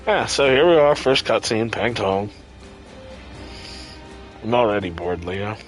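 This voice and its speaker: A man's voice: lowish voice, lively intonation, measured pace, soft background music. A man doing casual live gameplay commentary as a streamer, irreverent, sarcastic and freely profane. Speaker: game streamer.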